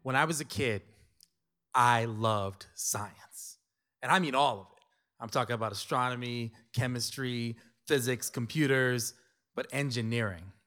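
A man's voice: medium pitch, measured pace, bright, forceful tone. A middle-aged man from New York telling a personal story to an audience, conversational and emphatic, with playful asides. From New York City.

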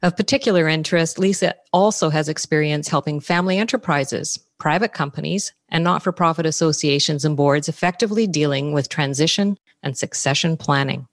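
A woman with a low, steady voice hosts a business podcast. She reads through prepared introductions and figures in a measured way. The sound is dry and close, with no room around it. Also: no room sound, faint music behind. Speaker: podcast host.

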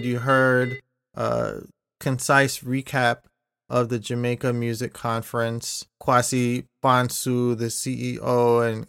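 A man hosting a podcast, recapping the last episode in a steady, explanatory way. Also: mid-range voice, clear, resonant tone, no room sound, studio quality. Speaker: podcast host.

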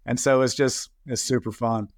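A man's voice: mid-range voice, fairly even intonation, normal speed, no room sound. Casual male podcast host, close dry sound. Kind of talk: casual conversational interview.

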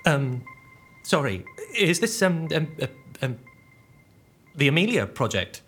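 A man's voice: on the high side, sing-song, a little slow, a bit bright, husky, faint music behind, exaggerated voice. An actor playing a timid, socially awkward man who hesitates, stammers and apologizes in an audio drama. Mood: nervous, apologetic.

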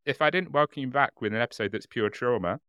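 A man's voice: mid-range voice, fast talker, sharp tone, clear, resonant tone, no echo, studio quality. A man hosting a podcast who laughs easily, chats casually and then reads out a case. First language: English. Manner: giggly, self-deprecating, reads narration. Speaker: podcast host. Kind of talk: casual chat and reading a case.

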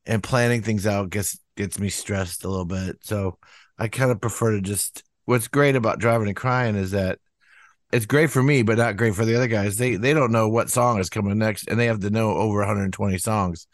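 Rambling low-voiced man musician, dry sound. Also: normal speed, no echo. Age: older. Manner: rambling, many "you know" fillers, acts out quotes in a raised voice. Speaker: musician guest. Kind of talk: casual interview talk.

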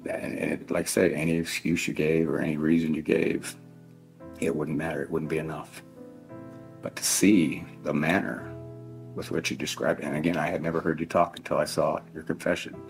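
Middle aged dad giving a victim impact statement, real deep n gravelly, stern, slow deliberate pauses. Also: male voice, little pitch movement, faint music behind. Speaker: bereaved father addressing his child's killer. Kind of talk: victim impact statement addressed to one person.

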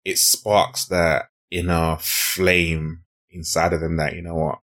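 A man with a very low, deep voice hosts a podcast interview. He talks conversationally, with an earnest and encouraging manner. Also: little pitch movement, slow, fairly bright tone. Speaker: podcast host.

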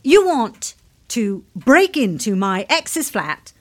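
A sardonic, foul-mouthed Victorian wife. Her voice is very high and very lively in pitch. She delivers everything bluntly, with exasperated sarcasm, for an audio comedy. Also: takes it slowly, heavily acted. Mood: suspicious.

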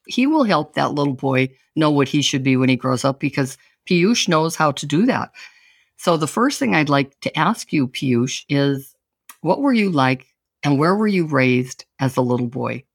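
A woman hosting an interview show, talking with warm enthusiasm and an effusive manner. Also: low voice, animated, moderate pace, husky, no echo, studio quality. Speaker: host.

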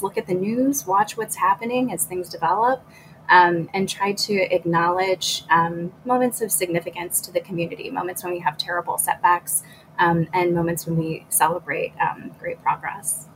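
A woman speaking on a video call panel, giving a clear, measured explanation. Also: fairly low pitch, slight background noise, poor audio.

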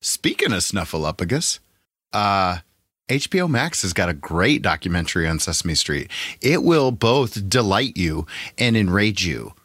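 A man's voice: sits low, very animated, measured pace, a bit bright, husky, no echo. A man co-hosting a podcast, joking and chatting casually. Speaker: podcast co-host. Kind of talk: casual chat.

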